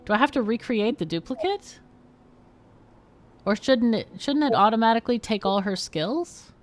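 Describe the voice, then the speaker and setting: A woman's voice: on the high side, lively intonation, normal speed. A woman hosting a game livestream, chatting casually and frankly as she plays and talks with guests. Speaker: livestream host playing a game. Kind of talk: casual live game commentary and chat.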